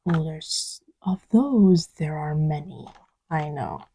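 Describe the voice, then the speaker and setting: A woman playing an affectionate, scatterbrained girlfriend in a casual roleplay audio, soft-spoken and often whispery, rambling playfully and self-deprecatingly. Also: sits low, takes it slowly, a little hiss or hum. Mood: absorbed, playful. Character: affectionate, scatterbrained girlfriend testing markers on her boyfriend's lap. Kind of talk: casual, improvised-sounding girlfriend roleplay audio with ASMR feel.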